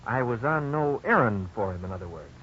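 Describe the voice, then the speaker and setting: An earnest man played by an actor narrates his youthful blunder in a 1950s radio drama. He is folksy and lively in pitch, on a muffled, faintly noisy old recording. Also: brisk, natural voice. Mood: reflective, explanatory. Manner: folksy, expressive storytelling.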